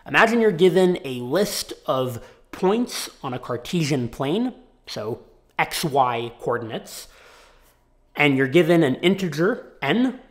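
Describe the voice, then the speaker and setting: A young man talking to camera in a casual vlog, sick and raspy, animated, from a small home office. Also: fairly high pitch, a little slow. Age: young adult. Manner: animated, gestures a lot with his hands. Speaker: tech YouTuber.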